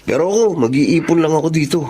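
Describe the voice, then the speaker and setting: A man's voice: on the high side, lively intonation, fast, warm tone, natural voice. An actor playing a cocky, scheming young gas station worker who teases his friend in a Filipino audio drama. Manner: teasing, boastful. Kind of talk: Filipino radio drama acting. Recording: audible background noise.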